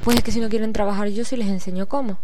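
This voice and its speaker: A woman's voice: medium pitch, fairly even intonation, very fast, darkish tone, slight background noise, lightly acted. A woman voicing a bossy prefect who keeps threatening the others with a whip.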